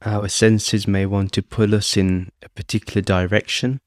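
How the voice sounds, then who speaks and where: A man calmly leading a guided meditation as host, speaking off the cuff in short phrases with long pauses. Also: on the low side, dry recording, clean studio sound. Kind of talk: guided meditation, unscripted instruction.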